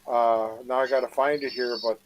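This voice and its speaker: A man with a Wisconsin accent in a casual live chat over a video call, talking haltingly and often repeating his words. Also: medium pitch, little pitch movement, rough recording.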